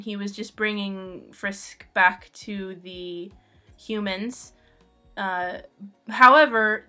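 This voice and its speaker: Bright-voiced woman chatting casually. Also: a little high. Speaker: comic creator answering fan questions. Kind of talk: casual playful chat.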